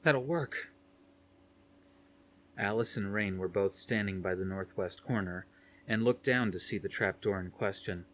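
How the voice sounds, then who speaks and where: A man narrates a thriller novel as an audiobook reader. His voice sits on the low side, and the delivery is scripted storytelling. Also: fairly even intonation, moderate pace, fairly bright tone.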